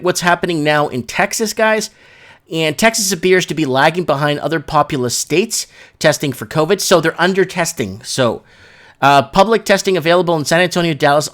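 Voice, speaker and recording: Male livestream host, slightly high register, loose and slangy delivery, rambling casual talk. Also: a bit bright. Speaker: live show host. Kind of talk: casual livestream chat, reading viewer comments and news aloud.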